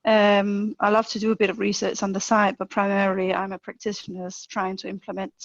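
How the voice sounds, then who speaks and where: A woman hosts an online webinar in a friendly, slightly halting way, with some filler words. Her delivery stays fairly even, with a gravelly edge. The video-call audio is muffled and dry. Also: medium pitch, dry recording. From Denmark. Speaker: webinar host, lean manager.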